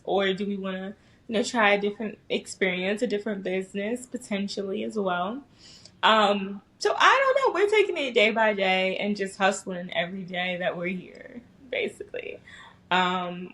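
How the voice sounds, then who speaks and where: American woman, mid-pitched, chatting informally. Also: fairly bright tone. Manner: informal, slangy. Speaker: podcast guest, small business owner. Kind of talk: casual interview chat.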